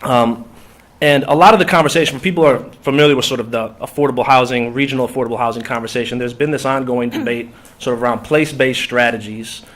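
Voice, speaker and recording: Man, nasal, congested from a cold, Minnesotan accent, halting talk with ums, mid-pitched voice. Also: a bit bright. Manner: halting, many ums and restarts. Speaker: coalition organizer. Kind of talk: conference talk. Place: foundation meeting room.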